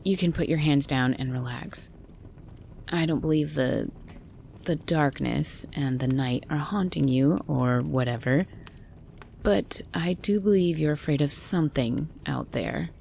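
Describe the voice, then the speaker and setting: A woman playing a gruff, wary cabin dweller, blunt and grumpy, in a horror audio roleplay. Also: deep voice, a little slow, a little mellow, slight background noise. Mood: guarded concern. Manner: blunt, grumpy, foul-mouthed.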